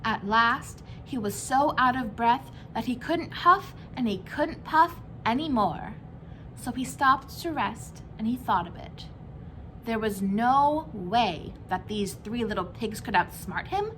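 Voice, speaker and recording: A woman's voice: fairly high pitch, expressive, slow, a bit bright, a little hiss or hum, rough recording. A woman reading a children's story aloud as a storyteller, phrasing it expressively for young listeners.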